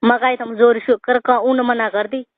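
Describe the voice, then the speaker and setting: Insistent high-voiced woman on a phone call. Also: little pitch movement, moderate pace, no room sound. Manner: argumentative, insistent, repeating herself. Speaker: daughter-in-law on a family phone call. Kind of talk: heated informal phone conversation. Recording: muffled low-fidelity phone call recording.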